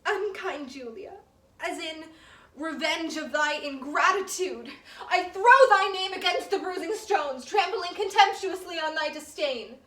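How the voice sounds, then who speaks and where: A woman's voice: extremely high pitch, lively intonation, a little slow, sharp tone, full character voice. A woman acting a passionate, lovesick young noblewoman in a theatrical Shakespearean stage monologue, dramatic and emphatic, lingering on words. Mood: anguished, impassioned. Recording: roomy, distant mic, faint background noise.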